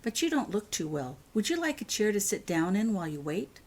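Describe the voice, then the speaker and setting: A woman's voice: mid-range voice, sing-song, a little fast, darkish tone, pitched above the performer's own voice. A woman voicing an eager, kindhearted young schoolgirl in a storybook reading, polite and earnest.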